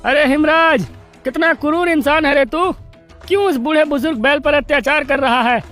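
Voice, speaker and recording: A woman's voice: sits high, moderate pace, a bit bright, soft background music. A woman playing an indignant villager in a cartoon story, scolding in a reproachful, emphatic way. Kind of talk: Hindi cartoon story voice acting.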